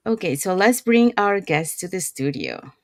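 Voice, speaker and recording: A woman's voice: fairly low pitch, big pitch swings, normal speed, darkish tone, no echo, clean studio sound. A woman from Panama hosting an online live show, friendly and loose, with frequent fillers as she goes. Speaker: host.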